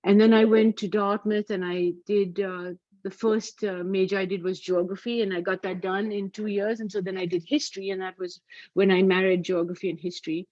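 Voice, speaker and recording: A woman's voice: mid-range voice, fairly even intonation, fairly quick, darkish tone, no room sound. A middle-aged woman from Pakistan giving an academic talk over a video call, hesitant with many fillers and restarts. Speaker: academic lecturer. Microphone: computer mic on a video call. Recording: dull, dry video call audio.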